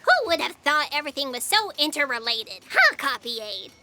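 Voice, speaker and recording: A woman acts a chirpy, literal-minded fact checker for comic sci-fi audio drama. The voice is high, piercingly bright and very animated. She sounds pert, pedantic and a little defensive. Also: moderate pace, full character voice.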